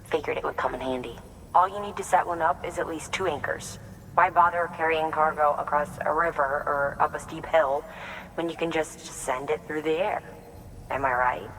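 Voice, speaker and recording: A woman's voice: sits low, normal speed, fairly bright tone. An actress playing a breezy, confident woman engineer in a video game, briefing in a casual, playful way. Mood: upbeat, playful. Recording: long reverb with some noise.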